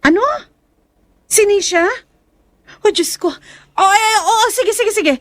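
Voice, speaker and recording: A woman playing a suspicious, impatient and sharp-tongued wife in a melodramatic radio drama. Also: very high-pitched, takes it slowly, dry recording, heavily acted. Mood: alarmed.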